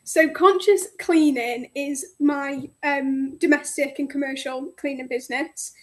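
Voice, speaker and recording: A bubbly, outgoing woman in her twenties, from North Wales, talking over the phone. Her voice sits high and bright, and her chat is friendly and easygoing. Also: fairly even intonation, a little slow. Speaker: guest, cleaning business owner. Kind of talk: casual interview chat. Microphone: phone call.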